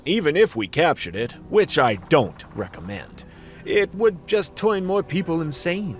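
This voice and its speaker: An actor playing a world-weary fantasy detective in a noir radio play, brooding and dramatic. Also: male voice, on the high side, very animated, measured pace, a little hiss or hum. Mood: resigned, wry. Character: hard-boiled fantasy detective, world-weary, knowing, dealer with demons.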